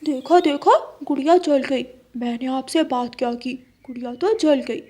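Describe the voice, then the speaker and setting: A woman's voice: high-pitched, measured pace, mellow. A woman voicing a jealous, wheedling young male crow in a children's cartoon story. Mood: sly. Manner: wheedling, sly.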